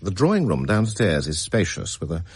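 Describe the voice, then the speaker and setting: A polite but evasive middle-aged estate agent stalls with ums and deferential hedging. His husky voice rises and falls in lively swings. A radio drama performance. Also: low voice, normal speed, no room sound, exaggerated voice. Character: fussy, evasive male senior estate agent.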